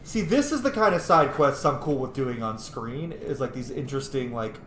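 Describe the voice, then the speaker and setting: Animated, playful man gaming in an echoey room. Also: mid-range voice, moderate pace. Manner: playful, sweary. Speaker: gaming video host. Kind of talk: casual game playthrough commentary. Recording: echoey room, faint noise.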